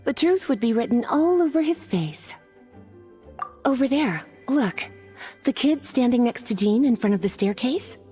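Mellow, flirtatious witch. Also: on the high side, animated, unhurried, faint music behind. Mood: composed, fond. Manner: coy, languid, poised. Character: languid, flirtatious adult witch, female. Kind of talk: video game dialogue.

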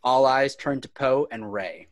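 A man's voice: medium pitch, measured pace, dry recording, clean studio sound. A man reading scripted screenplay narration, describing scenes and action. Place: indoors on a home video call.

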